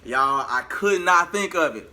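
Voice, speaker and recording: A man vlogging in a casual, colloquial storytelling style, animated and acting out bits of dialogue as he goes. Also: on the high side, a little slow. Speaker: vlogger and singer.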